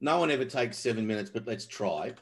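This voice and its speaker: A man hosting a casual podcast interview, excitable and jokey, often laughing. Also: medium pitch, brisk. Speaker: podcast host.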